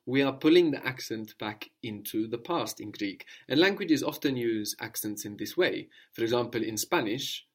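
A man teaching a language lesson, explaining patiently and prompting his student with questions. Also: medium pitch.

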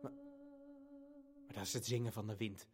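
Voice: medium pitch, fairly even intonation, normal speed, a little mellow, slightly rough, lightly acted. An actor playing a hesitant, easily discouraged child who also narrates a family radio play, faltering and repeating words. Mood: doubtful.

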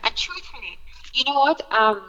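A young woman speaks with a Jamaican accent tinged with American, chatting animatedly with fillers and restarts. Her voice is bright and forceful. The sound is muffled and carries a long reverb tail. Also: high-pitched, lively intonation, normal speed. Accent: Jamaican, with some American influence. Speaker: dancehall singer, guest. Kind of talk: casual interview talk.

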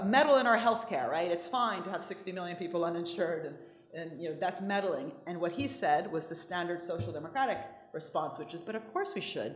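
A woman from Italy with an American accent, speaking fast and emphatically to an audience with restarts and quick asides. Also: on the low side, echoey room. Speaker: economics speaker. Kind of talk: lively talk with audience questions.

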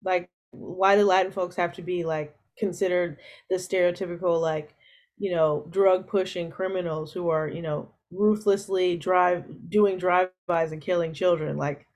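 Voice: medium pitch, steady tone, moderate pace. A guest in a casual film discussion who speaks bluntly and frankly, with dry remarks. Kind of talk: casual conversation.

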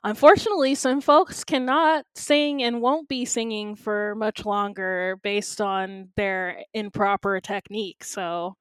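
A young woman co-hosting a podcast, chatting casually and giving upbeat shout-outs. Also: medium pitch, measured pace, few pauses, clear, resonant tone, no room sound. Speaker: podcast co-host. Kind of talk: casual chat.

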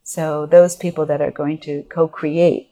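A woman's voice: deep voice, flat delivery, unhurried, mellow. A middle-aged woman from Japan, a guest expert on organizational culture, explaining her ideas in a thinking-aloud interview manner with restarts. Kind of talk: conversational interview answers.

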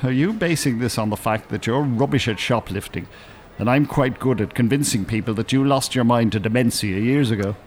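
An actor playing an older retired teacher in a radio drama, with precise formal wording and dry sarcasm. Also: male voice, mid-range voice, fairly quick, a little mellow, slight background noise, close to an everyday voice. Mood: dry, sceptical. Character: prim, sardonic retired teacher, older man.

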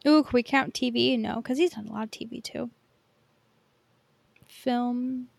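A woman's voice: a little high, fairly even intonation, unhurried, gravelly, dry recording. A woman co-hosting a podcast in casual pop-culture chat, informal and enthusiastic. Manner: informal, enthusiastic, swears freely. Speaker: podcast co-host.

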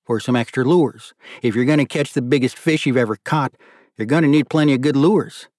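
A man voicing a friendly, retired older man, gentle and plain-spoken, in an audiobook story. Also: mid-range voice, fairly quick, no room sound, clean studio sound, lightly acted. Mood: kindly, encouraging.

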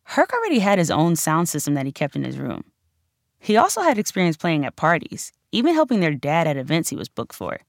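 Expressive woman narrating, very animated pitch. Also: a little fast, vocal fry, dry recording, clean studio sound. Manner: conversational, emphatic storytelling with casual slang. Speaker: author narrating own audiobook. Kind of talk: scripted audiobook narration.

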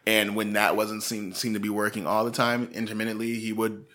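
A man with a fairly low voice and a bright, forward tone chats about a true-crime case. He rambles loosely, full of "like" and "um," with easy laughs between thoughts. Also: monotone, a little fast. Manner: chatty, rambling, lots of fillers and laughs. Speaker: podcast co-host. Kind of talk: casual true-crime chat.

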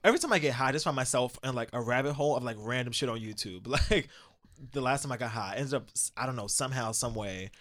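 A man chatting casually with friends, telling joking stories in an animated way. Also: mid-range voice, fast. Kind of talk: casual group chat.

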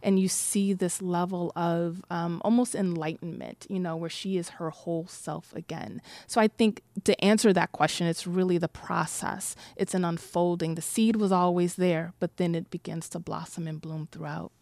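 A woman's voice: fairly low pitch, steady tone, normal speed, vocal fry, hardly any pauses, a little mellow, no room sound. A woman answering an interview question in a reflective, flowing way, with many fillers and restarts. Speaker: director of a one-woman show.

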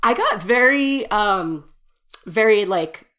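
A woman's voice: medium pitch, expressive, slow, a bit bright. A woman co-hosting a podcast with a stuffed-up nose from allergies, chatting and giggling about a movie. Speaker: podcast co-host. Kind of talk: casual movie review chat.

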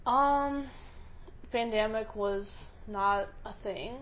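A woman's voice: mid-range voice, very slow. Young russian-born college girl chatting in an interview, says like a lot, kinda muffled audio w/ faint hiss. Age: young adult. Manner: casual, many "like" fillers and self-corrections. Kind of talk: casual interview answers.

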